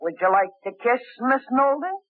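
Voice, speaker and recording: Sly high-voiced crook, coaxing and slow, old radio play, tinny broadcast sound with faint hiss. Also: male voice, expressive, no echo. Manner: coaxing, cackling. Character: scheming wheedling small-time crook, adult man. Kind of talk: 1950s radio drama acting.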